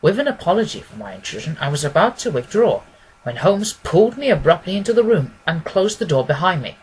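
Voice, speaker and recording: A man's voice: sits high, sing-song, fairly quick, a little hiss or hum, rough recording. A man reading classic fiction aloud as scripted literary narration. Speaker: audiobook reader.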